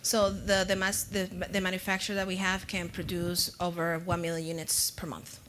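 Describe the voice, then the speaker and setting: A woman's voice: fairly low pitch, monotone, moderate pace, fairly bright tone, slight background noise. A woman co-presenting a product, answering questions in a conference room with many fillers. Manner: explanatory, with fillers.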